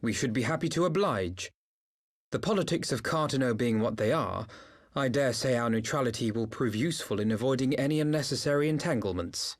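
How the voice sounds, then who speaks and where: A man's voice: mid-range voice, a little fast, natural voice. A man playing a courteous, diplomatic scholar in a game cutscene, speaking eloquently and formally. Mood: courteous, measured. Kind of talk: video game cutscene acting.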